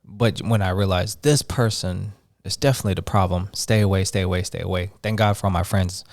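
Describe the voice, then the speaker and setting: A man in his thirties co-hosting a casual chat podcast, easygoing and quick to laugh. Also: sits low, steady tone, no room sound, clean studio sound. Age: 30s. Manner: laughs often, easygoing, fillers like "man" and "bro". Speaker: podcast co-host.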